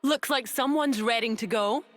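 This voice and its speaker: A woman's voice: on the high side, normal speed, close to an everyday voice. A woman playing a proud but insecure young woman, someone's childhood rival, in a video game cutscene. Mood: dry, needled. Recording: loud music under the voice.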